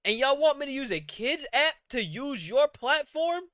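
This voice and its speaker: A man's voice: very high voice, big pitch swings, moderate pace, sharp tone, no echo, clean studio sound. A man in his late twenties hosting a live-streamed podcast, ranting casually with profanity and exasperated rhetorical questions. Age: 20s. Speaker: podcast host.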